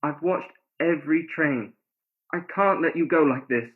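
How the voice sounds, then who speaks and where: An actor playing a tender, ardent, pleading lover in a radio play, earnest and romantic in delivery. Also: male voice, fairly high pitch, unhurried, lightly acted. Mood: urgent, pleading. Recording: thin phone-like sound.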